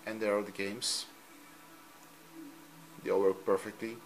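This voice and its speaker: Belgian man with a Dutch accent, halting. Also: on the low side, flat delivery, a little hiss or hum. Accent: Dutch-speaking Belgian. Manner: halting, frequent ums, simple non-native phrasing. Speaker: hobbyist youtuber. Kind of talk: off-camera screen demo. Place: room at home, filming a TV screen.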